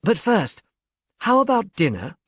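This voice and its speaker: Gracious, affected society matron for an audiobook, played by a man, lifted pitch, dry sound. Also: wildly swooping pitch, measured pace, a bit bright, breathy edge, no room sound, exaggerated voice. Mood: gracious, brisk. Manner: affected, genteel. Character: society mother, artificially pleasant, airy and gracious.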